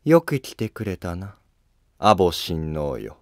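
A stately grand empress dowager , voiced by a man in an animated period drama. The delivery is slow, authoritative and probing, recorded very dry. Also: deep voice, no echo, full character voice. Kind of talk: Japanese historical animated drama acting.

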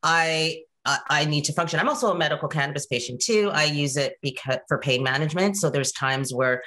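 A woman in her forties from Canada, talking casually on a video call, animated and chatty, often checking in with "right?" and "you know". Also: sits low, brisk. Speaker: guest presenter. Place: home room.

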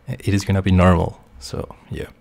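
A young man from Costa Rica with a Spanish accent, a QA engineer talking through a software tutorial with many fillers and restarts. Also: deep voice, fairly even intonation, moderate pace, gravelly, no room sound. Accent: Costa Rican Spanish. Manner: hesitant, many fillers and restarts, quietly talks to himself. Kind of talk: screen-share tutorial explanation.

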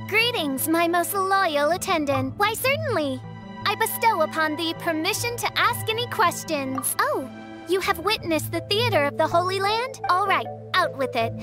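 Very high, pompous teenage princess. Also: female voice, very animated, measured pace, music in the background, exaggerated voice. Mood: haughty, grand. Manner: pompous, archaic grand diction. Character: haughty, theatrical teenage self-styled princess, secretly easily flustered. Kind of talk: video game character dialogue.

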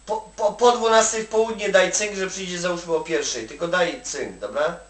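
A man's voice: high voice, moderate pace, fairly bright tone. A man from Poland chatting casually on the phone, giving advice in a rambling, colloquial way full of "you know" tags. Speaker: Polish migrant worker advising an acquaintance. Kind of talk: casual one-sided phone chat. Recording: echoey room, distant from the mic.

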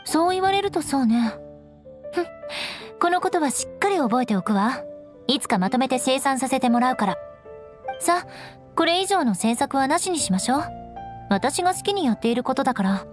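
A young noblewoman knight from an anime game with a high, expressively inflected voice. She is proud and stoic, speaking with measured formality and haughty composure over background music. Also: expressive. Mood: calm, resigned. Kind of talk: Japanese anime game voice acting.

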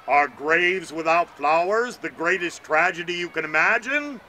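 Man voicing a calm, slow giant talking bird. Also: a little high, slight background noise, full character voice. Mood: probing. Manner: slow, questioning, measured.

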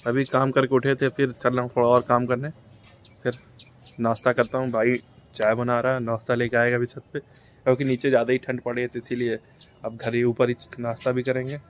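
A man's voice: medium pitch, monotone, a little fast. A young man casually narrating a home vlog into a handheld phone, chatty and showing viewers around. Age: young adult. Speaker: vlogger. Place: rooftop and rooms of a house. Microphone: handheld phone camera. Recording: vlog with loud music underneath. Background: loud background music.

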